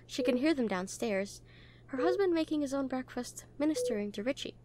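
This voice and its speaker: A woman playing a caring, protective young hospital nurse in an intimate audio roleplay, speaking gently, conversationally and a little hesitantly. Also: high voice, expressive, a little fast, a little hiss or hum. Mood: calm reading.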